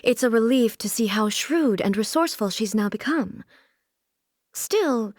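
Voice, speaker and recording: A woman's voice: a little high, a little mellow, no echo, clean studio sound. A woman playing a stern, regal deity ruler in a video game, speaking in a formal, composed, stately way. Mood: musing, reserved. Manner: formal, stately, measured delivery. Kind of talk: scripted video game character voice lines.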